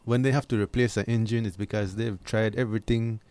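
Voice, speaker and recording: A man's voice: on the low side, fairly even intonation, brisk, a little mellow, clean tone. A man explaining things informally as a panelist on a radio show.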